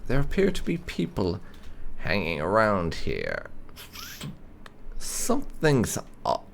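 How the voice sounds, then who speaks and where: A man's voice: on the low side, wildly swooping pitch, slow pace, gravelly, a little hiss or hum. A man hosting a roleplay game playthrough, commenting in a theatrical, musing way. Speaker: gaming host.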